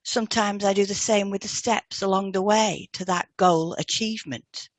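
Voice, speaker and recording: A woman's voice: medium pitch, fairly even intonation, moderate pace, no room sound. A woman co-hosting a podcast, explaining therapy techniques in a scripted dialogue. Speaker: podcast co-host, hypnotherapist.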